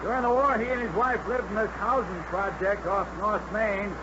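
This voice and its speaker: A man's voice: high-pitched, moderate pace, close to an everyday voice. An actor playing an obliging American aircraft plant foreman in a radio drama, talking in a folksy, rambling way. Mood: uneasy, helpful. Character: chatty, obliging male aircraft plant foreman, ordinary working guy. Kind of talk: 1950s radio drama acting. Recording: muffled old radio, noisy factory behind.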